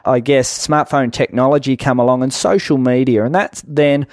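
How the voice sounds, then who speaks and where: A middle-aged man with an Australian accent speaks as an interview guest. His pitch is average and his delivery is measured and explanatory, like someone recounting a career. The recording is close and dry. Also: fairly even intonation, darkish tone, no echo. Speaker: guest, business owner. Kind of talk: conversational radio interview, explanatory.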